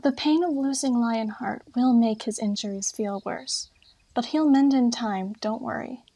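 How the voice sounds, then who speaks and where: A female medicine cat, played by a woman, grave and caring, with a mystical unease. The voice is breathy and a little high, in the style of a storybook audio drama. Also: unhurried, warm tone. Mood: uneasy, caring. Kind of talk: acted fan audiobook dub.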